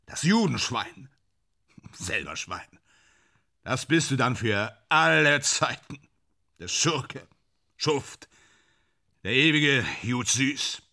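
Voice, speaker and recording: A man's voice: medium pitch, lively intonation, takes it slowly, long pauses, bright, forceful tone, heavily acted. A man in a German radio play playing a sardonic, brooding film actor who drinks hard and talks bitterly and theatrically. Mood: bitter, resigned. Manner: sardonic, brooding, theatrical, swinging from muttering to outbursts.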